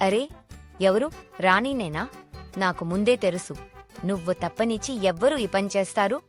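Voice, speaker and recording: A woman's voice: medium pitch, expressive, normal speed, faint music behind, close to an everyday voice. A woman voicing an elderly, plain-spoken village woman in a cartoon moral story. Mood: scolding.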